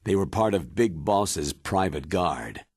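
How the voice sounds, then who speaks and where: Serious army boss briefing in a game cutscene, deep raspy voice, authoritative. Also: male voice, lively intonation, slow, darkish tone, no room sound, studio quality, exaggerated voice. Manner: grave, authoritative, explanatory. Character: stern male military commander giving a briefing. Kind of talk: dramatic video game cutscene acting.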